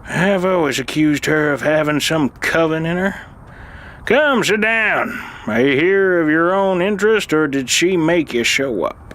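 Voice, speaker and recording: Audio drama role: a man as a weary, sardonic old alien uncle, grumbling in drawn-out, slow phrases with big pitch swings. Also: animated, a bit bright. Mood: gruffly welcoming. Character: cranky tired old alien chief historian, crazy uncle.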